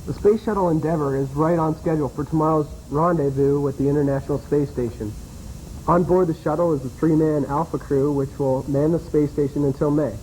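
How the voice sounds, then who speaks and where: A male anchor reads bulletins for a campus newscast in a steady, practiced way. His voice is a touch higher than most men's and rounded and dark in tone, with faint hiss in the background. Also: brisk, low-quality recording. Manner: steady newsreading. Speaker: news anchor. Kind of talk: read news bulletin.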